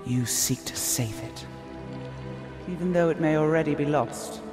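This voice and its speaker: A woman's voice: low voice, a little slow. A woman voicing an imperious, mocking spider spirit in female form, with a commanding, taunting delivery. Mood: taunting, probing. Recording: music behind.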